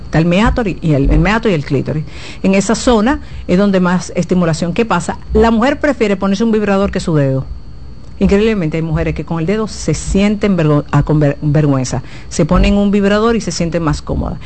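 A woman's voice: fairly low pitch, brisk, warm tone, a little hiss or hum. A woman giving frank, explanatory advice as a guest sex therapist on a talk show.

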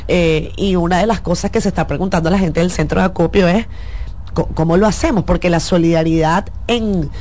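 A woman's voice: on the low side, a little fast, darkish tone, slight background noise. A woman journalist, a guest in a studio interview, explaining in earnest detail and with feeling. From Venezuela. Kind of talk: talk-show interview.

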